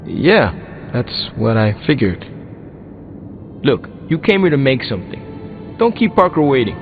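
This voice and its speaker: Animated, slangy young mechanic hero. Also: male voice, mid-range voice, a little slow, phone-quality sound, soft background music. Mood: offhand, skeptical. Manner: slangy, offhand, hesitant. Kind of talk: video game dialogue acting.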